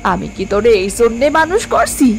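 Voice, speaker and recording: A woman acts out a strict village mother from a cartoon folk tale. Her voice sits high, with a sarcastic, scolding, worried manner. Faint music plays underneath. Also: very animated, normal speed, creaky, darkish tone. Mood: scornful scolding. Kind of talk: dramatic cartoon voice acting.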